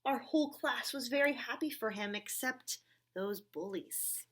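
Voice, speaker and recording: Earnest young schoolboy, read by a woman, high and husky. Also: measured pace, fairly bright tone. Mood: sad, hesitant. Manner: earnest, eager explaining. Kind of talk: children's storybook reading.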